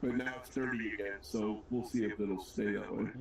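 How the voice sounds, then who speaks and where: A man's voice: lowish voice, fairly even intonation, normal speed, distant mic, a crowd in the background. A man co-hosting a livestream, chatting casually and rambling about his work at the bench. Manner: laid-back, rambling, self-deprecating. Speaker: livestream co-host. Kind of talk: casual chat while building a model kit.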